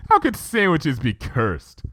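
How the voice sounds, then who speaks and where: A man's voice: fairly high pitch, wildly swooping pitch, takes it slowly, a bit bright, breathy edge, no room sound, full character voice. A man voicing a young, bookish female pony, skeptical and exasperated, reasoning articulately in a cartoon fan-fiction reading. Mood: puzzled.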